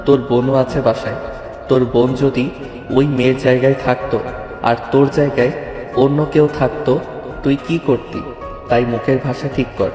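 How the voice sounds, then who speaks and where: A man's voice: mid-range voice, level tone, moderate pace, long pauses, lightly acted. In a reverberant audio drama with music underneath, a man plays a principled young male student. He speaks evenly and seriously, holding himself back. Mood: serious, firm. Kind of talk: Bengali audio story acting. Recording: echoey long reverb, music bed.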